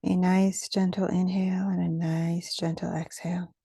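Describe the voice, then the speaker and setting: Woman doing a guided meditation, soft gentle pacing w pauses, very mellow tone, dry close sound. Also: on the low side, flat delivery, vocal fry, slightly rough, no room sound. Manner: gentle, soothing, measured delivery with pauses.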